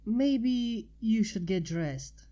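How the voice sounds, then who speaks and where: Woman acting slow, mellow, flustered young female pony. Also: fairly high pitch, expressive, dull audio. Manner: stammering, hesitant. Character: flustered young female pony, caring but easily embarrassed.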